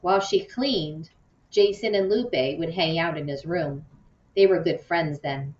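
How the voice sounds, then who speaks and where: A woman's voice: low voice, measured pace, darkish tone. Roomy recording of a woman as a hurt young girl. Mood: hurt, indignant. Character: hurt, indignant young girl confiding in her friend. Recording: muffled, roomy, distant from mic.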